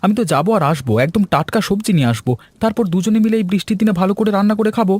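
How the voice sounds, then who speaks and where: A man raises his voice to play an eager young son in a cartoon, pitched well above his usual voice and pleading to be let out. The sound is dry and close. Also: animated, racing, darkish tone, no room sound.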